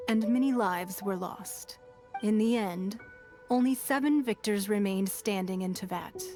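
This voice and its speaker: An actress plays a shrewd noblewoman who leads with quiet authority in an anime game. She speaks formally and with composure, at a middling feminine pitch, over music. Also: close to an everyday voice. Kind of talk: anime video game voice acting, expository dialogue. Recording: music behind the voice.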